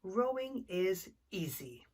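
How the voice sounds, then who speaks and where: A woman's voice: mid-range voice, sing-song, takes it slowly, a little mellow, exaggerated voice. A woman voicing a determined, exuberant little storybook girl with an emphatic, chanting delivery. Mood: eager, determined.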